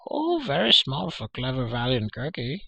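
A man's voice: medium pitch, wildly swooping pitch, normal speed, gravelly, bright, forceful tone, husky, no room sound, pitched above the performer's own voice, heavily acted. A man voicing a greedy, fawning, whimpering hairy creature for an audiobook, pleading and full of self-pity. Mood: wheedling. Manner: pleading, self-pitying, third-person chatter. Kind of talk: audiobook character voice.

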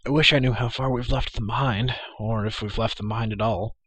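A man's voice: medium pitch, steady tone, brisk, a bit bright, breathy edge. A man voicing an anxious, earnest young adventurer in an audiobook, urgent and practical. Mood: anxious hope. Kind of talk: audiobook character voice.

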